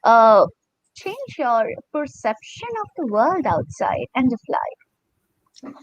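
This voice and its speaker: Lively, high-voiced woman interviewer. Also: takes it slowly, lo-fi sound. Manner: courteous, attentive. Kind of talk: polite moderated conversation.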